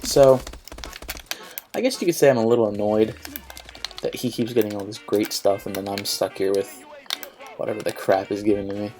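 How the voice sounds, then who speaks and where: A man's voice: lowish voice, moderate pace, long pauses. A young man with a cold and a stuffed-up nose, chatting casually and rambling with lots of likes and ums while he plays a video game. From Philadelphia area, United States. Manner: rambling, frequent likes and ums, mildly annoyed. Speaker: gaming YouTuber. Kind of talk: casual gameplay commentary.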